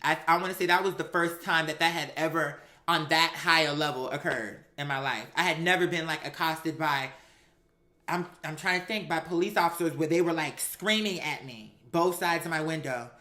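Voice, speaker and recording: A woman telling a personal story in an animated, sassy, emphatic way, with slangy, profane language and acted-out dialogue. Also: sits low, normal speed, echoey room. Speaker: storyteller recounting a personal experience.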